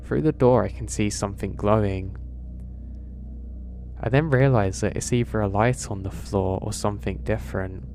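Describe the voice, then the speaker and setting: A man narrating first-person horror stories in a steady, even storytelling read. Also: lowish voice, moderate pace. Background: background music.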